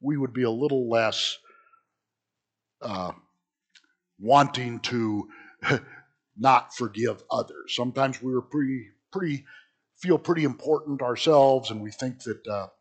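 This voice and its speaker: A middle-aged man, a pastor, speaks in a hoarse, husky voice left rough by a recent illness. He teaches Sunday school in a small church in an easy, joking way, full of asides and restarts. His pitch sits in an ordinary middle range for a man. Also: unhurried, long pauses.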